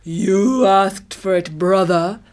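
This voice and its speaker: A woman as a loud, blustering bully elephant. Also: slow, lightly acted. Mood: scornful, grudging. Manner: booming, scornful, trumpeting.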